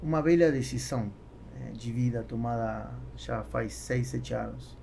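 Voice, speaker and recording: Gravelly Spanish-accented man, halting. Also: mid-range voice, warm tone, a little hiss or hum. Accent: Spanish-accented Portuguese. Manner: halting, searching for words. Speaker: podcast guest, business executive. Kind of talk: conversational interview answers. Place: small foam-treated podcast studio. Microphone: close boom-arm studio microphone.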